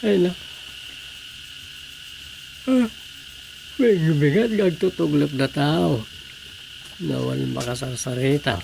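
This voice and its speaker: A man's voice: on the high side, slow, creaky, pauses often, darkish tone, slight background noise, exaggerated voice, poor audio. A man playing an exasperated comic village councilor with an Ilocano accent, full of questions and exclamations, in a radio comedy-drama. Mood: puzzled.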